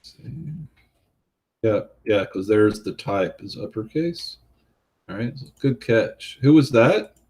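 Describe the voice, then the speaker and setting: Man, Southern drawl, very slow pace, unhurried, thinking aloud, study host. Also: on the low side, expressive, a little mellow. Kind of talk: live coding walkthrough.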